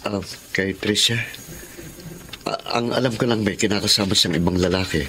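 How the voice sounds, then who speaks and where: A man's voice: fairly low pitch, moderate pace, pushed and bright, a little hiss or hum. An actor playing a humble, struggling father of three in a Tagalog radio drama, earnest and plaintive in delivery. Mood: resigned.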